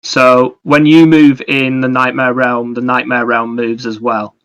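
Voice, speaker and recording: Male, gaming podcast co-host. Bright, sharp tone, little pitch movement, rambling explanations. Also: level tone, measured pace, smooth, highs cut off. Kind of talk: casual gaming discussion.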